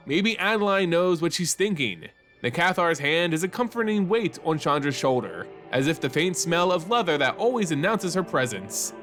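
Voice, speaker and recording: A man's voice: fairly high pitch, a bit bright. A man hosting a fantasy lore channel, reading a story as dramatic scripted narration.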